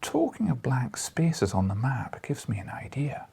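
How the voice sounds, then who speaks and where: A man's voice: mid-range voice, sing-song, a little slow, gravelly, dark tone, slightly rough, voice pushed up, full character voice. A man voicing a wry, coaxing old newspaper editor with a Scottish accent in an audiobook. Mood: sly, enthused. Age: older.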